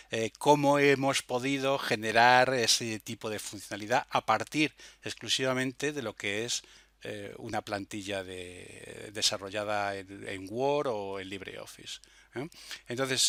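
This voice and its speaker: A man narrates an informal software demo tutorial, explaining step by step with hesitations and frequent little checking tags. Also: medium pitch, no room sound. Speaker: software demonstrator.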